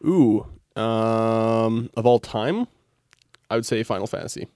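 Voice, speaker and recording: A man's voice: on the low side, slow pace, a little mellow, dry recording, studio quality. A young man chatting casually as a podcast guest, wry and opinionated. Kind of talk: casual chat.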